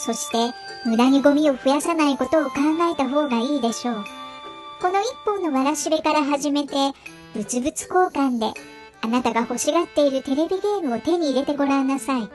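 A woman voicing a calm, wise goddess of mercy in a cartoon storybook, speaking politely and with measured admonishment. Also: sits high, lightly acted. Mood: serene admonishing. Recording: music playing behind the voice.

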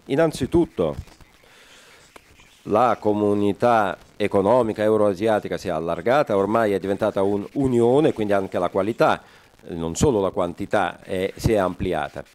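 A man interpreting a forum speech live, halting often with fillers and correcting himself mid-phrase. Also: fairly low pitch, darkish tone. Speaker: conference interpreter.

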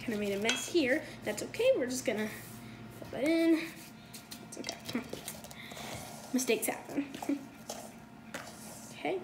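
A woman's voice: sits high, expressive, measured pace, long pauses. A young woman hosting a casual home cooking video, chatty and upbeat, with lots of fillers and self-corrections, recorded on a phone in a kitchen. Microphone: phone camera across the counter. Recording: echoey kitchen with noise.

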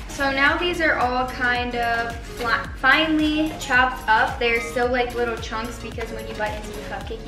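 A teenage girl with a high voice talks through her baking steps in a chatty, easygoing way. She is recorded by a camera some distance away in a roomy home kitchen, with music playing underneath. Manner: chatty, explains each step while working. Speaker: baking vlogger. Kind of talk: casual baking tutorial. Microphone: far camera on the counter.

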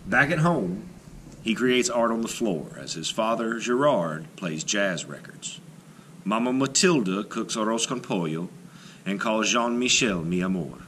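A man reading a children's picture book aloud expressively, with dramatic phrasing and deliberate pauses. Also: on the low side, expressive, fairly bright tone, slight background noise.

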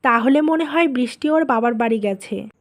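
A woman's voice: on the high side, moderate pace, lightly acted. A woman voicing a greedy, cold-hearted, scheming mother of a grown son in a cartoon drama. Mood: casual, probing. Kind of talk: dubbed cartoon drama dialogue.

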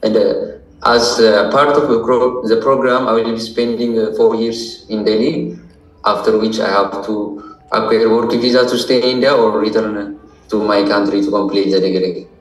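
A man's voice: lowish voice, fairly even intonation, normal speed. Ethiopian-accented young man, roomy distant sound. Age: 20s. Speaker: interview guest, international student. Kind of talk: formal interview answers. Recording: roomy echo, distant microphone.

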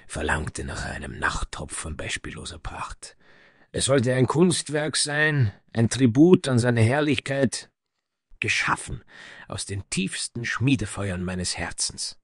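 A man's voice: fairly low pitch, fairly bright tone, slightly rough, no echo, clean studio sound, heavily acted. A man playing a proud, vengeful dwarf smith from the icy north, speaking in solemn, grandiloquent phrases in a fantasy audio story.

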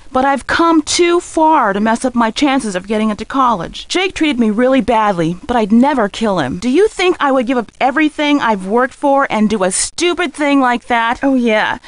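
An actress playing a driven, defensive high school girl in a video game, speaking in a guarded, emphatic way. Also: dry recording. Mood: anxious.

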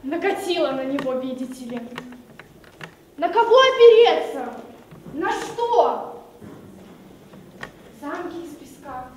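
A woman's voice: high voice, animated, slow pace, long pauses, darkish tone. A teenage girl acting a young radio host in a school stage play, musing about friends and exams. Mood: wistful. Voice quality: emotional, musing monologue. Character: wistful young woman radio host, restless. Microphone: far camera. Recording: echoey hall from far off, noisy.

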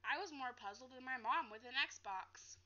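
A young woman reads a children's novel aloud in a high, bright voice. She goes carefully and sometimes repeats a phrase. The recording is dull and lo-fi, as from a webcam in a living room. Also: normal speed. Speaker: teacher reading aloud. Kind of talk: storybook read-aloud. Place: living room, seated on a couch. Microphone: webcam at a short distance.

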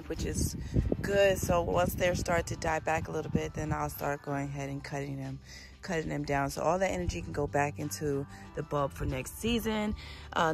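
A woman's voice: low-pitched, gravelly. A woman hosting a gardening channel, walking viewers through her backyard garden outdoors in a casual, chatty way, filmed on a handheld phone. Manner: chatty, addresses viewers as you guys. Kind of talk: casual garden tour walkthrough. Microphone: handheld phone camera.

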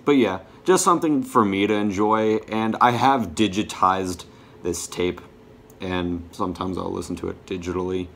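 A young man giving a casual show-and-tell to camera, halting and often correcting himself. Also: low-pitched, a bit bright, slight background noise. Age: young adult. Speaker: vlogger showing a music collection. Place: small bedroom, indoors.

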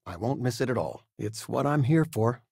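An older man playing a warm, fussing retired-teacher father in a video game, with a hesitant, folksy, expressive manner. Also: medium pitch, normal speed, vocal fry, husky, dry recording, studio quality, full character voice. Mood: conversational, fond. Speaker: voice actor. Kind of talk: video game character dialogue.

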